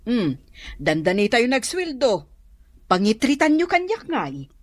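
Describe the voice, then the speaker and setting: An actress playing a high-strung female boss in an Ilocano comedy radio drama. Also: sing-song, unhurried. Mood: bossy.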